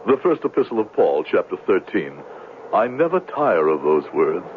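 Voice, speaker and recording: A pious, smooth-talking conman posing as a missionary in a radio play. His delivery is solemn and swoops widely in pitch, with theatrical hesitations. It comes through a thin, noisy old broadcast. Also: sing-song, moderate pace, phone-quality sound. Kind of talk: 1970s radio drama acting.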